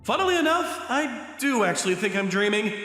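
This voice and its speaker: An actor playing a modest, bashful high school boy who narrates and speaks in an earnest manga dub style. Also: big pitch swings, fairly quick, pushed and bright, soft background music, exaggerated voice. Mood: bashful wonder.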